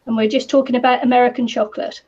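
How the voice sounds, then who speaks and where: A woman with a New Zealand accent chatting casually on a live craft stream, her voice croaky today, rambling with lots of ums and little laughs. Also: a little high, little pitch movement, racing, darkish tone. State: croaky voice from the heat. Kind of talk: casual chat while crafting. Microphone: computer or camera mic with a fan nearby. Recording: slightly dull lo-fi sound.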